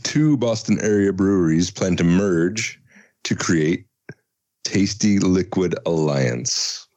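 A man's voice: low-pitched, animated, slow, a little mellow, dry recording. A man co-hosting a beer podcast, teasing the others with wry remarks in casual chat. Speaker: podcast co-host.

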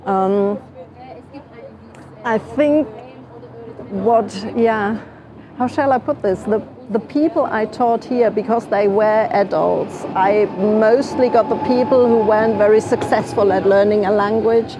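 A woman's voice: medium pitch, measured pace, noisy background. A middle-aged German-born woman talking about language teaching in an interview, thoughtful and hesitant, with frequent fillers. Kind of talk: casual interview conversation.

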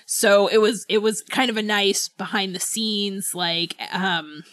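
A woman's voice: medium pitch, steady tone, unhurried, fairly bright tone, no room sound. A woman co-hosting a podcast, chatting informally and ramblingly, with lots of "like" and "you know". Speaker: podcast co-host. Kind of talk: casual book club chat.

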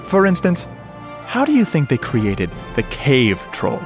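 Male audio-geek sound man, radio drama, mid-pitched, casual and eager explainer over music. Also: huge pitch swings, a little slow. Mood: enthusiastic, explaining. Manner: casual, explanatory, rhetorical questions to listener. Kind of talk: conversational radio drama monologue.

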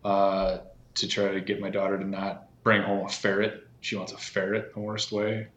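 A man in his thirties chatting casually as a podcast guest, halting with many fillers and restarts. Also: on the low side, completely flat delivery, fairly bright tone, echoey room. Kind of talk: casual conversation.

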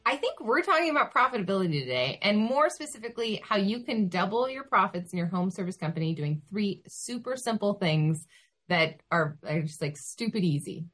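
A woman's voice: mid-range voice, animated, fairly quick, fairly bright tone, soft background music. A woman guest on a podcast who answers in an eager, casual, upbeat way.

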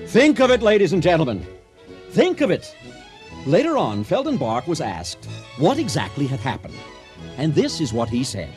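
Over faint music, a theatrical storyteller narrates a radio comedy. His fairly high voice moves expressively up and down as he addresses his listeners. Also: wildly swooping pitch, moderate pace, darkish tone. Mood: animated storytelling. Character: theatrical, folksy male storyteller addressing an audience. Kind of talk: comic radio drama narration.

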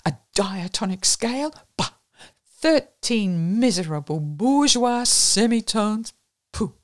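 Woman as gentleman, urbane, soothing, playfully pompous, lively intonation, audiobook character. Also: medium pitch, slow pace, voice pushed up. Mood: mock-earnest.

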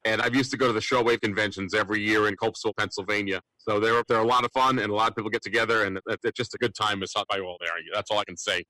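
A man's voice: fairly low pitch, fairly even intonation, racing, few pauses, bright, forceful tone, dry recording, low-quality recording. A man chatting casually as a panelist on a radio discussion show.

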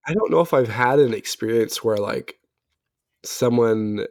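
A man chatting casually as a podcast guest in a rambling, hesitant way, his voice a little raspy as he gets over an illness. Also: medium pitch, a little slow, no echo, studio quality. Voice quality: slightly raspy. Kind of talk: casual chat.

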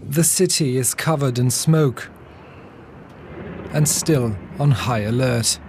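A man's voice: mid-range voice, slow pace, background noise. A man narrating a scripted news report as a reporter.

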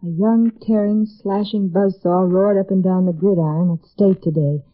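Female actor, young American daughter, medium pitch, 1950s radio drama acting, thin phone-like sound. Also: steady tone, normal speed, close to an everyday voice. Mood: puzzled. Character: anguished young woman, devoted daughter, horrified. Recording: tinny vintage radio recording.

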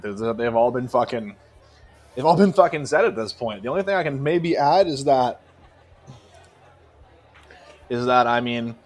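Sweary man in casual podcast talk. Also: mid-range voice. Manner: sweary, emphatic. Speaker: podcast co-host. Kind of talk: casual opinionated music discussion.

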